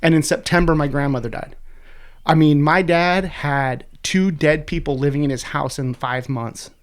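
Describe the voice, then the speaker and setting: A man in his forties with a congested, sniffly voice, telling stories in a casual, profane podcast chat as a guest. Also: a little high. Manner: fast, profane, animated storyteller. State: congested, sniffling from a sinus infection. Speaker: guest, website co-creator.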